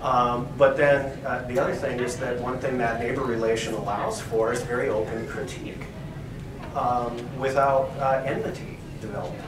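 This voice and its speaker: A man's voice: mid-range voice, steady tone, normal speed. Man speaking in an echoey library room. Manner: thoughtful, hedging with "um" and "right?". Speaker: professor and co-editor giving a library talk. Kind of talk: discussion in an academic talk. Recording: echoey room, distant mic, background noise.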